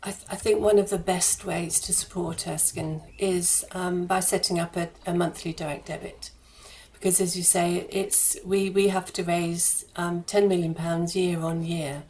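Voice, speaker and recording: A woman with a fairly low voice talks as a guest in a radio interview. Her intonation stays quite level. She explains her charity work earnestly, pausing and hesitating as she finds her words. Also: level tone, a little mellow, poor audio.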